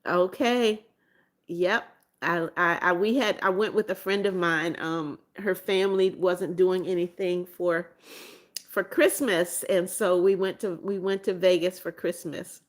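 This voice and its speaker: A woman's voice: on the low side, fairly even intonation, a little slow. Chatty seventy-year-old woman live-streaming. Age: 70s. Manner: chatty, reads viewer comments aloud and answers them; calls herself Mommy Beth and repeats phrases. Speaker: livestream host. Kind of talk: casual live-stream chat.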